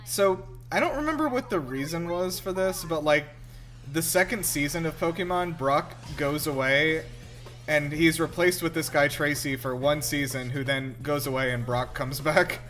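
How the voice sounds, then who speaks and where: A man's voice: a little high, moderate pace, fairly bright tone. A man hosting a casual movie reaction show, chatty, informal and enthusiastic. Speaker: podcast host. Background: film music playing.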